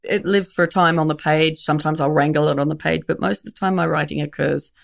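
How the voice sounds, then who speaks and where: A woman with a gravelly, creaky edge to her voice talks as an interview guest. She is frank and wry, circling back and restarting phrases as she thinks aloud. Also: low-pitched, steady tone, fast. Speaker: comedian guest. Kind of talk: reflective interview talk.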